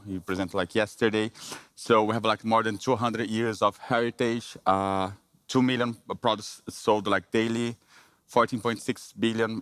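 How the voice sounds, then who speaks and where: A man with a Brazilian Portuguese accent presents at a business conference. He speaks in a somewhat low voice, often pausing on fillers and restarting phrases. The sound is close and dry, with almost no room echo. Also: fairly bright tone, clear, resonant tone. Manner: halting, many fillers and restarts.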